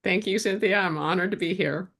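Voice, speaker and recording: Reflective woman guest with a gravelly, fry-edged voice, talking it through unscripted. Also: lowish voice, brisk, a bit bright. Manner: reflective, with frequent restarts. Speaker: guest, actor and writer. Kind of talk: conversational interview answers.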